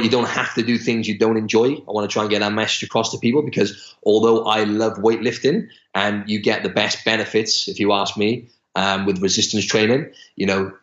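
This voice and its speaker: A man's voice: on the low side, a bit bright. A young man from Wales, a podcast guest chatting casually, full of fillers and restarts. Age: young adult. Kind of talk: casual interview chat. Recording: echoey room, slightly dull sound.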